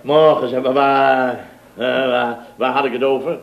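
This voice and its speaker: An actor playing a boastful, blustering builder boss in his fifties in an old radio comedy, halting and repeating himself as he goes. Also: mid-range voice, steady tone, dull audio, close to an everyday voice. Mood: flustered. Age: 50s. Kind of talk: 1970s radio comedy acting.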